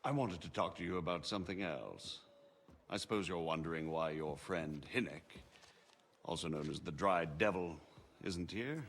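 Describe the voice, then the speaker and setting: An actor playing a shrewd, haughty margrave who speaks in formal, measured, eloquent phrases in a video game drama. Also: male voice, deep voice, fairly bright tone, slight background noise. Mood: composed, pointed. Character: shrewd, haughty noble margrave, smooth political schemer.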